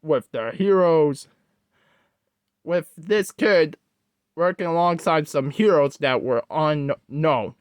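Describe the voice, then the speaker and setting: Slow storyteller reading a creepypasta, kinda halting, fixes own wording, real dry close recording. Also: medium pitch, no echo. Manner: halting, corrects own wording mid-sentence. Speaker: host, storyteller.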